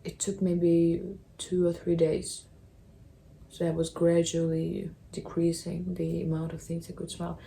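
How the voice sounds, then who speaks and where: A young woman talks casually to her viewers from home. Her low voice has a gravelly, creaky edge and a mellow, dark tone. Her English is halting and full of restarts, with a faint hiss of noise behind her. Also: monotone, poor audio. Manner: halting, apologetic non-native English with restarts. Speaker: vlogger. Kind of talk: casual chat to camera. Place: apartment.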